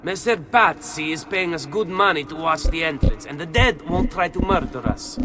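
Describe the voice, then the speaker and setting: Man as high-voiced, impatient guard. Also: animated, normal speed, very noisy, natural voice. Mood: dismissive. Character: gruffly impatient, greedy Italian hired guard. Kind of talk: video game character acting.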